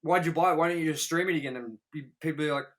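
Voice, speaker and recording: A man co-hosting a movie review show, talking in casual, profane banter with an exasperated, animated manner. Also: fairly high pitch, very fast. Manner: exasperated, animated ranting, mimicking imagined people. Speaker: podcast co-host reviewing movies.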